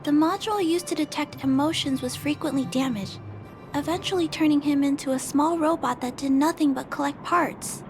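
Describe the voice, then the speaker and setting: A woman voicing a gentle, curious young girl in a video game, polite and musing thoughtfully aloud. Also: sits high, moderate pace. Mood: thoughtful, explaining. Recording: game music behind the voice.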